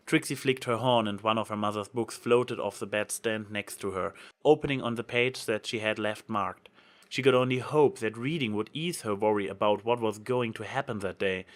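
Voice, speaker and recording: A man's voice: lowish voice, a bit bright. A man reading fan fiction aloud as a narrator, in a dramatic storytelling style.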